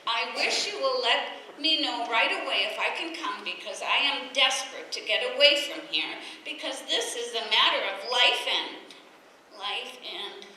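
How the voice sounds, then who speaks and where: An actress playing a chatty, scatterbrained wife in a radio domestic comedy, guileless and placating. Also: female voice, a little high, lively intonation, moderate pace, sharp tone. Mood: flustered. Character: chatty, scatterbrained wife defending her mother. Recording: very echoey, distant, faintly noisy radio-play recording.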